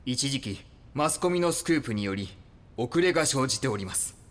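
An actor playing a devoted cult lieutenant in an audio drama, formal, obedient and zealous. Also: male voice, mid-range voice, expressive, a little fast, a bit bright, close to an everyday voice. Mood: dutiful. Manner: formal, deferential, reporting. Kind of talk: Japanese audio drama acting.

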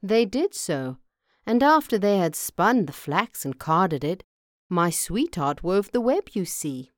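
A woman's voice: on the low side, sing-song, slow pace, no echo, studio quality. A woman voicing a loyal, modest young farmer's son in a storybook, earnest and plain-spoken. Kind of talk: storybook character voice.

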